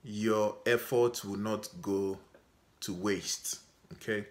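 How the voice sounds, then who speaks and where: A Ghanaian man speaks slowly and deliberately, in a fairly low voice. He talks straight to his viewers and keeps checking in with them as he goes. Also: level tone. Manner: measured, repeats "okay?" to check in with viewers. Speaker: vlogger. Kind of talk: unscripted talk to camera.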